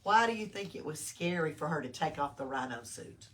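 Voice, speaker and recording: An older woman reads aloud to small children. Her pitch moves up and down expressively as she explains things simply and checks in with questions. She is picked up from a distance, with faint noise around her. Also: expressive, a little fast. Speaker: library storytime reader. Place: small-town library. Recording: distant mic with background noise.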